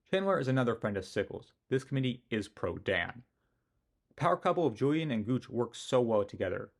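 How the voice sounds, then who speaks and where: Male history narrator, scripted delivery, opinionated, self-mocking asides. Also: medium pitch.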